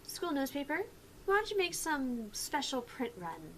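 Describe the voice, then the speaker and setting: A woman's voice: high-pitched, very animated, unhurried. A woman voicing a young student council vice president in a visual novel, bossy yet apologetic and a little hesitant. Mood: organising, hesitant. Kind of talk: acted visual novel dialogue. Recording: clear background noise.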